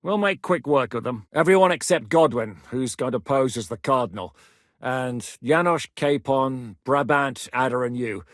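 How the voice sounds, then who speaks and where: An actor voicing a seasoned, commanding male war captain in a video game, blunt and quick to bark orders. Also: mid-range voice, no echo, studio quality, close to an everyday voice. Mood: calm. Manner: barks battle orders, brash and blunt. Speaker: military commander.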